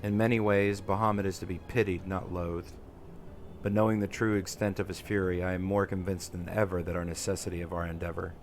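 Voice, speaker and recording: A man voicing a solemn, articulate young nobleman from a fantasy game in formal, deliberate declamation. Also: sits low, flat delivery, a little hiss or hum. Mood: pensive, grave. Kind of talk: dramatic reading of game dialogue.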